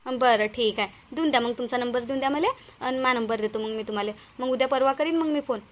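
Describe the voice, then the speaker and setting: A woman's voice: fairly high pitch, quick pace, natural voice. A woman voicing a cautious, bossy village woman in a Marathi cartoon, with a rural Vidarbha accent and a homely, questioning way of talking. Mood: agreeing. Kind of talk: Marathi cartoon moral-story dialogue.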